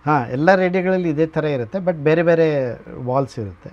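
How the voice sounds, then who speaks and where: A man's voice: a little high, fairly quick, dull audio. A man giving an informal explanatory tour as a museum owner, mixing English phrases into his speech.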